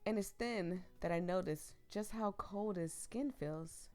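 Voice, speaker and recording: Giggly woman, hoarse from flu. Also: mid-range voice, a little slow, a little mellow, faint music behind. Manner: giggly, rambling asides to viewers. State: losing her voice after the flu. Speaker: streamer playing a visual novel. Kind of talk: reading a visual novel aloud with chatty commentary.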